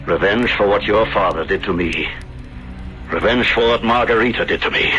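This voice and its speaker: An actor playing a vengeful, cruel murderer in a theatrical, taunting radio drama style. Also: male voice, lowish voice, moderate pace, sharp tone. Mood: bitter menace. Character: vengeful, gloating murderer, a cruel jilted husband. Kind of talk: 1970s radio drama acting. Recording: dull vintage radio recording, music behind.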